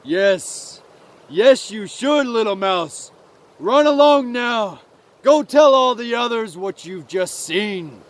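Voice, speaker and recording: Guy doing a calm old-tale frog, sly and coaxing, takes his time, voice up high. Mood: amused, gentle. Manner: measured, coaxing, ceremonious.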